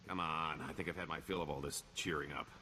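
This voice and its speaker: A man's voice: extremely low pitch, fairly even intonation, fast, pushed and bright, a little hiss or hum. A man playing a roguish middle-aged career criminal in a video game, wry and boastful, with a wheedling, theatrical sarcasm. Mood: sly, persuasive.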